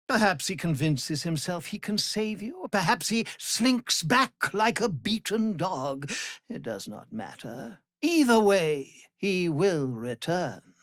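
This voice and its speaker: An actor playing a cruel, pompous immortal vampire lord in a fantasy video game, menacing and theatrical, moving between hushed threats and grand declarations. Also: male voice, sits high, big pitch swings, slow pace, no room sound, studio quality, exaggerated voice. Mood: obsessive triumph.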